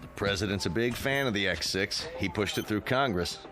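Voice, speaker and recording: Actor as a commanding low-voiced general over music. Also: male voice, lightly acted. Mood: assured. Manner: authoritative, brisk military delivery. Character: commanding, clipped male Air Force general at flight control. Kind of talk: radio drama acting.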